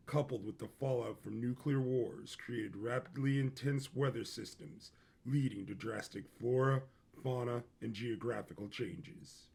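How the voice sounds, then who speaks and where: Creaky-voiced male story narrator. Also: mid-range voice. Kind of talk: scripted dramatic story narration.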